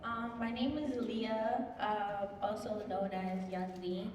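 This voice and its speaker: A woman's voice: medium pitch, fairly even intonation, takes it slowly, darkish tone. A nineteen-year-old young woman, a social media manager, introducing herself casually on a panel, often saying "like.". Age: late teens. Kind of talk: personal introduction at a community panel. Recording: echoey hall, distant mic, audible noise.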